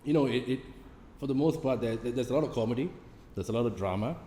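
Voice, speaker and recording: A man in a casual cast interview, talking haltingly and repeating words as he thinks. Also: medium pitch, warm tone. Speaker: stage play cast member. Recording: echoey hall, faint background noise.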